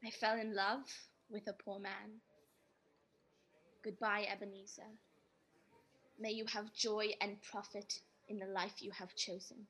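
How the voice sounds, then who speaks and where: A woman playing an earnest, sorrowful young fiancée in a radio drama, measured and pointed. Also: medium pitch, little pitch movement, fairly bright tone, slightly rough. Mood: sorrowful, resolute. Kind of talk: stage-style radio drama acting.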